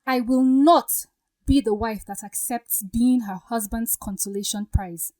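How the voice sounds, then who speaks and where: Casting: woman as proud, hurt young bride-to-be in radio drama; high voice, firm and pointed. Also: measured pace, darkish tone, lightly acted. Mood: resolute.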